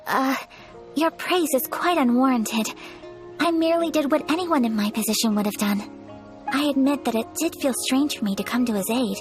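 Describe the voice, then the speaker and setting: An actress playing a polite young noblewoman in a video game, formal, measured and gentle. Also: high voice, lightly acted. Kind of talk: video game character acting. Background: music.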